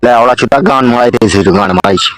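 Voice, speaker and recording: A man playing a gruff, irritable older village father in a comedy cartoon, scolding bluntly and crudely, with music behind. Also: mid-range voice, racing, a bit bright, slight background noise, close to an everyday voice, rough recording. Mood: angry.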